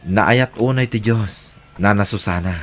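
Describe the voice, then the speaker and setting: A man with an Ilocano accent voicing a humble, devout poor father in an audio drama, gentle and earnest. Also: lowish voice, unhurried, natural voice. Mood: grateful. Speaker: voice actor.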